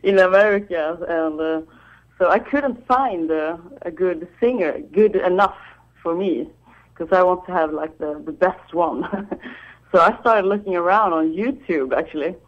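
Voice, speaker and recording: A Swedish woman, a guitarist, talking on the phone in halting English with a Swedish accent and frequent laughter. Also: lowish voice. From Sweden. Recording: dull phone line.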